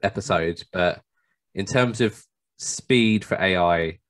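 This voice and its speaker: A man's voice: deep voice, slow, a bit bright, no room sound. A man chatting casually and informally as a podcast co-host. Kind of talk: casual chat.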